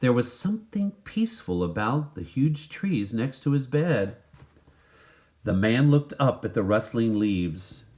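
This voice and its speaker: Slow, animated male storybook reader. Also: medium pitch. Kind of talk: expressive scripted children's story narration.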